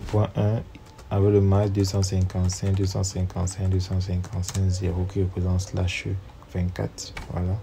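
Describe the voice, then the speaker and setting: Male tutorial narrator with a cold, dark and monotone, faint hiss, keys clicking behind. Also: sits low, moderate pace, gravelly. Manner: steps through commands aloud, spelling out numbers. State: has a cold. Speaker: networking instructor. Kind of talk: screen-recorded tutorial walkthrough.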